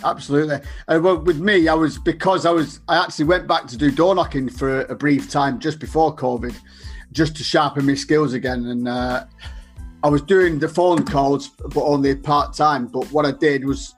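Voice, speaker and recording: A man's voice: fairly high pitch, steady tone, moderate pace, faint music behind. A man with a Northern English accent chatting casually as a podcast guest, often tagging questions onto his remarks. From Northern England. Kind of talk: casual conversational interview.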